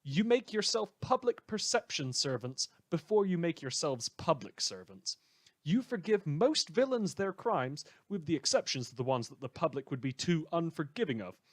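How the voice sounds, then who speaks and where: A man's voice: fairly high pitch, sing-song, moderate pace, no room sound. Man playing a theatrical, swooping, sardonic radio host. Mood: indignant. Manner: sarcastic, dramatic, emphatic. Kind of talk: scripted satirical radio news commentary.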